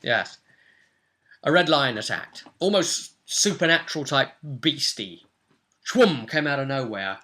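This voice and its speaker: A young British man with a bright, forward voice chats through a recap of a role-playing game. He runs the game and tells it casually, at a middling pitch. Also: expressive, measured pace. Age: young adult. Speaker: game master, co-host. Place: small cluttered room at home.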